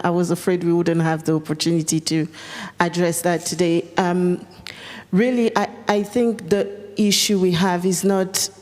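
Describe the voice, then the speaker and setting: A woman's voice: fairly low pitch, darkish tone. French-speaking woman on an echoey panel. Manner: earnest, pauses with fillers, repeats for emphasis. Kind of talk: panel discussion answer. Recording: echoey conference hall.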